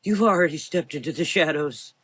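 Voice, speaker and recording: A man's voice: on the high side, lively intonation, fairly quick, fairly bright tone, husky, no echo, pitched above the performer's own voice. A man voicing a frail, frightened old doctor who pleads anxiously in a gothic horror audio drama. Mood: relieved.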